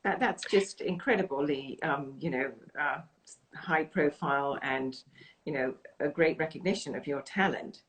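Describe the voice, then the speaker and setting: A woman's voice: sits low, unhurried, rough recording. A woman hosting a video call interview from her home in London, chatty and full of effusive praise. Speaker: interview host.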